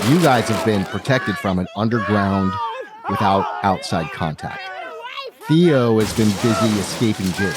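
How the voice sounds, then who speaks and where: A man's voice: lowish voice, a little mellow. A man narrating a tabletop fantasy game as game master, telling the scene descriptively to the players. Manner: descriptive second-person storytelling.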